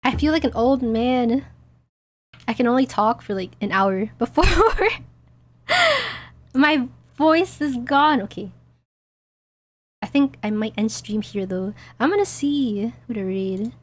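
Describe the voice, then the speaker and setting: A woman streamer with a hoarse, tiring voice, giggling and chatting casually with crude jokes as she plays. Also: fairly high pitch, slow, long pauses. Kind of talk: casual gaming stream chat.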